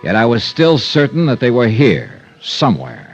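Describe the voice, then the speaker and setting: An actor playing a wry, casual American hard-boiled investigator in a 1950s radio detective drama. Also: male voice, medium pitch, wildly swooping pitch, normal speed, gravelly, breathy edge, soft background music, heavily acted. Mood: dry, watchful. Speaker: lead actor and narrator. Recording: muffled vintage radio recording.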